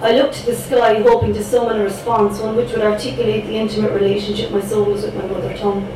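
A woman's voice: mid-range voice, flat delivery, fast. A young Irish woman from Cork performing her spoken word poems with a rhythmic, dramatic delivery and chatty asides between pieces. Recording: echoey room picked up from a distance, background noise.

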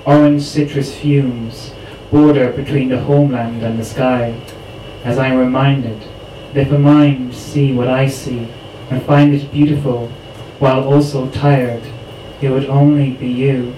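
A man from Ireland recites his own poem in a slow, steady way, his pitch staying flat and level. He is picked up from some distance in an echoey room, with clear background noise around him. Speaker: poet at open mic. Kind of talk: spoken word poetry reading.

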